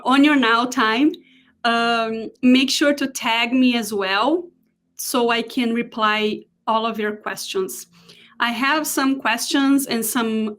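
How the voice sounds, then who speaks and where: Brazilian-accented woman, 40s, holistic therapist; unhurried, earnest delivery, slightly high voice. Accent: Brazilian Portuguese. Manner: warm, earnest, checking notes with pauses. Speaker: holistic therapist presenting a talk. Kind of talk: live online presentation.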